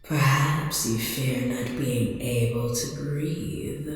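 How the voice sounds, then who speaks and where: Slow, echoing, menacing empress, acted by a woman. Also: extremely low pitch, fairly even intonation, a little hiss or hum. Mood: sinister, taunting. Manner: slow, deliberate, theatrical, sinister. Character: menacing female empire ruler who conjures victims' worst fears. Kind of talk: ASMR roleplay voice acting. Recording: cavernous long reverb.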